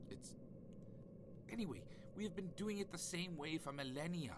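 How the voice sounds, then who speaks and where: An actor playing an ancient, haughty afterlife judge in an audio comedy drama, dry, sarcastic and impatient. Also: male voice, on the high side, lively intonation, normal speed, noisy background, lightly acted. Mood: irritated.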